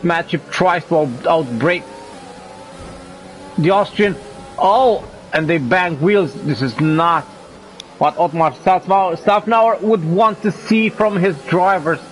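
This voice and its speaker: A man with a cold commentating a sim racing league broadcast, rising in pitch when the action heats up. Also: slow pace, slight background noise, poor audio. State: has a cold. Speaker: race commentator.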